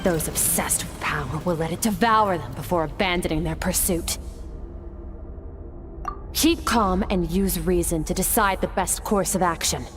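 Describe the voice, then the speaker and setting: Aloof warrior woman, voiced by an actress, calm, cold, lowish voice, soft game music. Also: moderate pace, natural voice. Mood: measured. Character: cool, aloof female warrior mentor. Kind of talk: video game voice acting.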